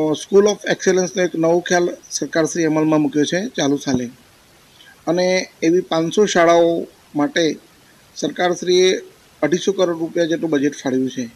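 A middle-aged man gives an interview in an office. His voice sits slightly high as he explains things into a reporter's handheld mic. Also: poor audio. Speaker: education official being interviewed. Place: office, indoors. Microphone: handheld reporter microphone.

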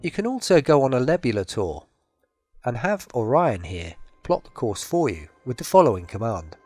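A man's voice: mid-range voice, big pitch swings, moderate pace, a little mellow. A man presenting a product demonstration, mixing scripted promotional narration with spoken commands. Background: music behind the voice.

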